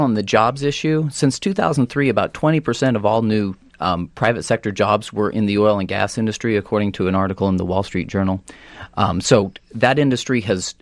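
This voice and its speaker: An American man speaking as a policy guest on a radio talk show, often halting and rephrasing as he goes. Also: fairly low pitch, no room sound. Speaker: energy policy director, panel guest.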